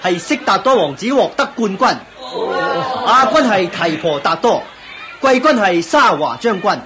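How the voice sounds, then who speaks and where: An actor playing a dutiful court official in a radio drama, formal, declamatory and deferential. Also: male voice, sits high, big pitch swings, normal speed, heavy background noise. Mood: announcing. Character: dutiful court official announcing royal contest results.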